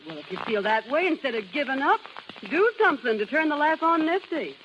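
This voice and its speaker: Wisecracking carnival dame, muffled recording. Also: female voice, high voice, sing-song, a little fast. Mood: wry. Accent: American. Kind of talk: 1930s radio drama acting. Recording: dull, noisy 1930s radio recording.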